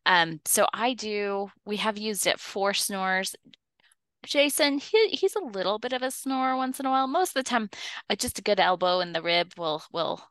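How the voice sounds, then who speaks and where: A woman's voice: medium pitch, animated, normal speed, fairly bright tone, no room sound. A woman co-hosting a podcast, chatting in a bubbly, giggly way and closing the show. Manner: giggly, bubbly, lots of um fillers. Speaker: podcast co-host. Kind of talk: casual podcast chat.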